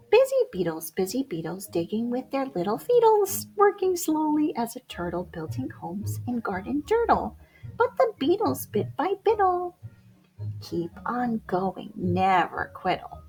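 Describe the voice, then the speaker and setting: A woman with a high, very lively voice reads a rhyming picture book for children. Her delivery is theatrical and unhurried, dropping to hushed whispers and rising to bold exclamations. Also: low-quality recording. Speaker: children's storybook reader. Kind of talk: animated read-aloud of rhyming picture book poems.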